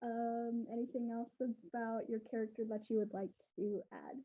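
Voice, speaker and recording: A woman's voice: a little high, fairly even intonation, normal speed. Giggly woman host, tinny phone-like sound. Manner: chatty, laughs often, loose filler words. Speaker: interview host. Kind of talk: casual interview over voice chat.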